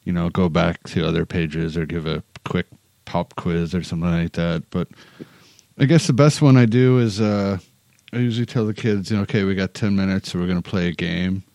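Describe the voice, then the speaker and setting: A man's voice: deep voice, fairly quick, creaky, darkish tone, dry recording. A man in a casual chat about teaching, with a laid-back, joking, rambling way of talking. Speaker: English teacher in Korea, talk show speaker.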